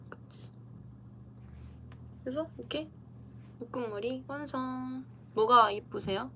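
Brief: young woman livestreamer, giggly and playful, unhurried chat over a computer mic, faint room hum behind. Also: fairly high pitch, fairly bright tone. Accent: Korean dialect. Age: young adult. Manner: giggly, playful, talks to viewers' comments. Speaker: idol streamer.

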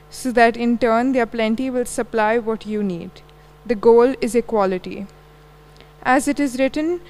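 Young female lay reader, careful steady reading, slightly high voice, lectern mic. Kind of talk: reading scripture aloud. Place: church sanctuary. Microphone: lectern stand mic.

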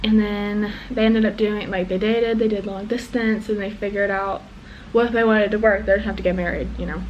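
A woman's voice: medium pitch, fairly even intonation, normal speed, muffled recording, a little hiss or hum. A young woman from England who speaks with an American accent, rambling through a personal story to the camera with lots of ums and restarts. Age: young adult. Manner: rambling, with many ums and self-corrections. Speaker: vlogger. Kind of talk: casual chat to camera. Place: small indoor room. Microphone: camera mic close to face.

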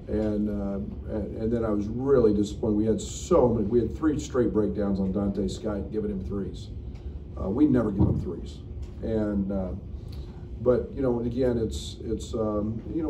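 A middle-aged man, a basketball coach, answering questions at a postgame press conference in a frank, halting way with many fillers. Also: fairly low pitch, distant mic. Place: press room at a table. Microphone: table mic, recorded from the room. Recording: echoey room, picked up from afar, noisy.

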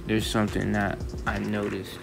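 Gravelly-voiced young man, rambling. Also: lowish voice, little pitch movement, normal speed, music in the background. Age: 20s. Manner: meandering, repeats phrases, frequent restarts. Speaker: religious vlogger. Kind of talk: rambling monologue over still images. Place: voice-over, not in pictured scene.

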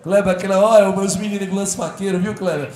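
A man's voice: high-pitched, fairly even intonation, fast. A middle-aged man hosting a studio interview show, talking in an effusive, rambling way with a lapel mic. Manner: effusive, rambling, jokes and shouts at crew. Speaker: talk show host. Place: TV studio set. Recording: echoey studio with audible background noise.

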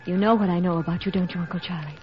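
A woman's voice: on the low side, rapid-fire, faint music behind, close to an everyday voice. An actress playing an earnest, anxious, determined teenage girl in 1940s radio drama, pleading and melodramatic. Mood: urgent, determined. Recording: muffled, slightly noisy 1940s radio broadcast.

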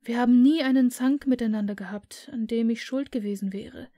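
Woman doing an earnest cheerful young man, pleading, fairly high voice. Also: brisk, mellow, lightly acted.

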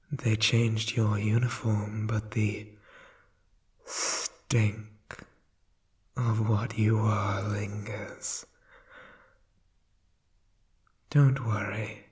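A demonic father in an ASMR horror drama. He whispers very slowly, with a doting, mock-tender softness. Every phrase is sinister and quietly sadistic. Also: on the low side, flat delivery. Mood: mock-tender, menacing.

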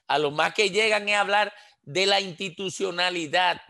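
Emphatic Dominican man, bright high voice. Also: normal speed, gravelly. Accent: Dominican Spanish. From Dominican Republic. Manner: emphatic, rhetorical questions, gestures while talking. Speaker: political commentator on a radio panel. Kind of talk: impassioned political commentary. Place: small indoor room. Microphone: headset on a video call.

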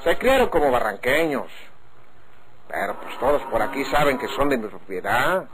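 A plain-spoken country cattle seller from an old radio drama. His speech is frank and folksy, with a lively rise and fall, over a faintly noisy vintage recording. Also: medium pitch, moderate pace. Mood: frank, explaining. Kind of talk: golden-age Mexican radio drama acting.

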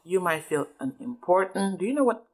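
A woman's voice: fairly low pitch, sing-song, fairly quick, a little mellow, a little hiss or hum. A woman doing a teaching read-aloud, patiently explaining and often checking understanding with questions. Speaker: adult reading a book with a child.

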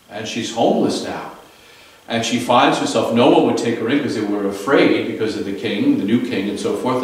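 Low-voiced priest in an echoey chapel. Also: male voice, recorded from a distance. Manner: conversational storytelling, fillers and restarts. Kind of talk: daily homily. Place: small chapel. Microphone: far camera.

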